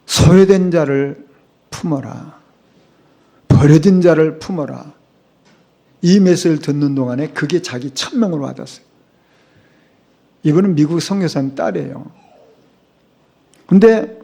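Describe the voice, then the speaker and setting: A man's voice: a little high, normal speed, vocal fry, pauses often, dark tone. A middle-aged man preaching a sermon as a pastor, speaking emphatically and asking the congregation rhetorical questions. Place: church sanctuary.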